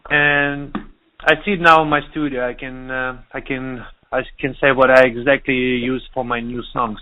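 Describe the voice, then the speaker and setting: A man from Russia with a Russian accent, speaking halting English as a DJ being interviewed, pausing to search for words. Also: medium pitch, flat delivery. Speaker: DJ and producer. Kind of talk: interview over the phone. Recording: tinny phone line.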